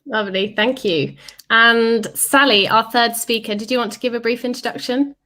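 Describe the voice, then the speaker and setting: A woman's voice: a little high, moderate pace, sharp tone. A woman hosting a livestreamed panel discussion, upbeat and chatty with frequent ums. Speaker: host and chief editor.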